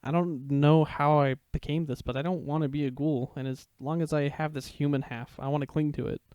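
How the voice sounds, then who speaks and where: A man's voice: a little high, steady tone, fairly quick, a little mellow, smooth, dry recording, lo-fi sound, close to an everyday voice. A man playing a shy, polite half-ghoul boy held prisoner, hesitant and apologetic, in an anime fan fiction drama. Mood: earnest, wistful.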